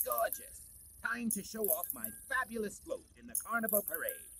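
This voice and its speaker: A man's voice: high-pitched, normal speed, vocal fry, pushed and bright, breathy edge, soft background music, pitched above the performer's own voice, heavily acted. A man voicing a flamboyant, selfish cartoon villain, theatrical, gloating and bossy, for a children's storybook. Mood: vain, bossy.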